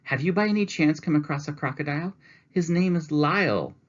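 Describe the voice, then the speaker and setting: A man's voice: on the high side, fairly quick, pitched above the performer's own voice, close to an everyday voice. A man voicing a worried, kindly lady anxiously searching and pleading for help, in a slightly dull recording.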